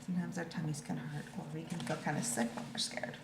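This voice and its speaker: A woman's voice: low-pitched, flat delivery, fast talker. A woman reading a picture book to children and explaining it informally in simple, child-directed words. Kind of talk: read-aloud with informal explanations. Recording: echoey room with clear background noise.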